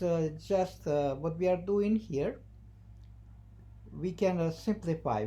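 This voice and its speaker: A non-native English speaker teaching math, thinking aloud haltingly and repeating phrases while writing out the steps. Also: mid-range voice, mellow. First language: not English. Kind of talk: math lesson, working through a problem at the board. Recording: noisy, with a constant hum.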